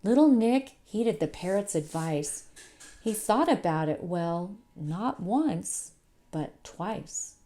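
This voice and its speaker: A woman's voice: mid-range voice, lively intonation, slow pace, dark tone. A woman narrating a children's storybook as a storytime host, in a scripted read-aloud style.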